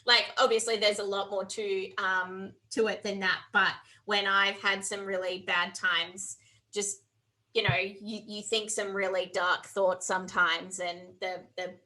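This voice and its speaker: A woman's voice: mid-range voice, flat delivery, measured pace, bright, forceful tone, poor audio. A woman on a video call panel, talking in a thoughtful, hesitant way with many fillers. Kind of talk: casual panel chat.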